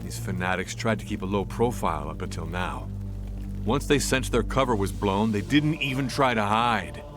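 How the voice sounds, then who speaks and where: Man acting grave, lowish fantasy hunter. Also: normal speed, natural voice. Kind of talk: dramatic fantasy game acting. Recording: music behind the voice.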